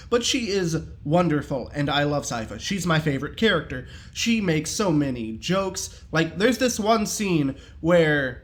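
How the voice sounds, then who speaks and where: A man giving a casual, enthusiastic review in an animated, jokey, slangy way. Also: sits high.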